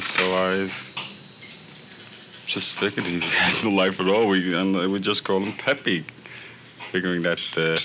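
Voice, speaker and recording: Deep-voiced man, halting casual talk. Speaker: interviewee. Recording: noisy 1950s location tape.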